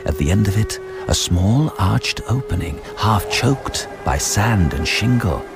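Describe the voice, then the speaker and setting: An actor as a grave, measured narrator of a ghost story radio drama. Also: male voice, fairly low pitch, animated, over music. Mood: ominous.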